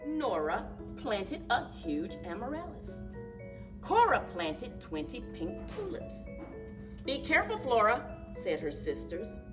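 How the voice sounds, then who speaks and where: A woman reading a picture book aloud to young children as a story time librarian, expressive and deliberate, addressing them directly. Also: medium pitch. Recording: distant microphone, music mixed in.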